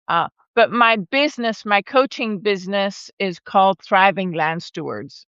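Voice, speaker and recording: A woman's voice: mid-range voice, a little slow, fairly bright tone, clean tone, no room sound, highs cut off. A woman in her forties, a regenerative rancher and educator who grew up in Argentina and Brazil, telling her story in a chatty way, laughing often and restarting her sentences. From born in Argentina, raised in Brazil. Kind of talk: casual conversational storytelling. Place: home, live video call. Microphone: video call microphone.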